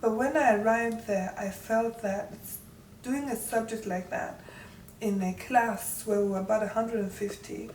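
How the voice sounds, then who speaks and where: A woman's voice: on the high side, steady tone, measured pace, a little mellow, echoey room, recorded from a distance, a little hiss or hum, rough recording. A woman in her forties from Uganda, talking through her life story as an interview guest in a reflective, conversational way.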